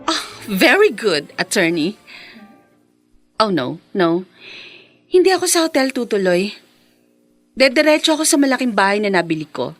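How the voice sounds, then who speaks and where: A woman's voice: mid-range voice, sing-song, normal speed, pauses often, faint music behind, close to an everyday voice. An actress in a Philippine radio drama playing a self-assured, vengeful rich young woman who slips English phrases into her Tagalog. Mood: breezy, businesslike.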